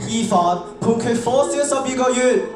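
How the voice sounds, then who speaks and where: A man's voice: very high voice, normal speed. A man playing a stern, officious judge in heaven's court in a radio drama. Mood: stern, warning. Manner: officious, authoritative courtroom delivery. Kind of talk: stage radio drama acting. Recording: echoey hall, distant mic, music.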